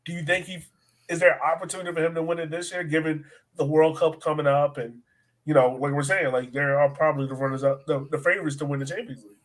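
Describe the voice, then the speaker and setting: Higher-voiced man hosting a soccer podcast. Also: fairly even intonation, fast, poor audio. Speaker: sports podcast host. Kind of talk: conversational soccer podcast interviewing.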